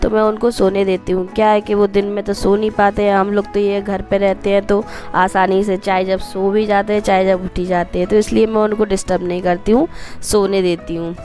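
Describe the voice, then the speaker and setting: Woman vlogger, hoarse from a cold, Madhya Pradesh Hindi accent, flat even delivery, music low underneath. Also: brisk. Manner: chatty, homely, rambling asides to viewers. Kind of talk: casual voice-over narration of a daily routine.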